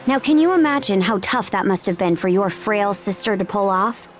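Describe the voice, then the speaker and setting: A woman's voice: medium pitch, lively intonation, moderate pace, lightly acted. A woman acting a bold, blunt young warrior princess in a video game cutscene. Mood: firm, explaining.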